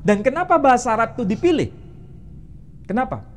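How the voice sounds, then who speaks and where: A man's voice: very high-pitched, big pitch swings, a little fast, a little hiss or hum. A man, an Islamic teacher, explaining religion in an interview and quizzing the interviewer with rhetorical questions.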